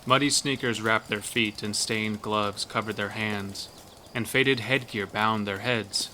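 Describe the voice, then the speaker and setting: A man narrates a scripted literary story in a fairly low voice with a bright, forceful edge. Faint outdoor ambience with birds sits behind him. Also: slight background noise.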